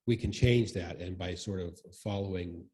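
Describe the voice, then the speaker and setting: A man giving informal, reflective comments in a group discussion, speaking in a halting, thoughtful and tentative way. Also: low voice, warm tone. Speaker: congregation member commenting in church discussion.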